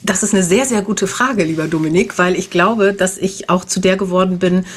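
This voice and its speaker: A woman in her fifties chats as a podcast guest. She talks in a loose, easygoing way, often ending her sentences with a quick tag question and laughing easily. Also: fairly low pitch, breathy edge. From Germany, grew up in the Netherlands. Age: 50s. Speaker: guest, animal communicator. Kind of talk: casual interview chat.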